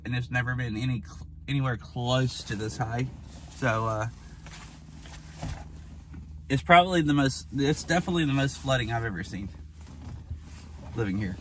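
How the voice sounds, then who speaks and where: A hoarse young man streaming outdoors, chatting casually and rambling with lots of fillers. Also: medium pitch, a little hiss or hum. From Washington state. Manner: rambling, filler-heavy, with frequent "like". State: hoarse from lost voice. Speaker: IRL streamer. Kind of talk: casual live-stream chat. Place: outdoors by a flooded river.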